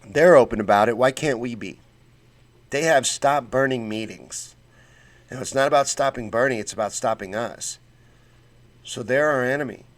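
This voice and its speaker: A man's voice: medium pitch, moderate pace, pauses often. A man hosting a casual political livestream, reading chat comments and talking bluntly with fired-up conviction and folksy slang.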